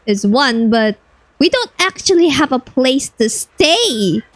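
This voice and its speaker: A woman's voice: sits high, sing-song, slow, no room sound. A woman giving casual, blunt and slangy reaction commentary as she plays a video game. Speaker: streamer playing a video game.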